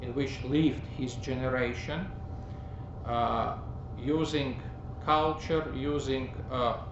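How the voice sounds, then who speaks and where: Slow, halting man preaching in a roomy church. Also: medium pitch, fairly even intonation. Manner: slow, halting, with many pauses and fillers; repeats words for emphasis. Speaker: priest. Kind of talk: teaching sermon. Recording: roomy, dull, noisy recording.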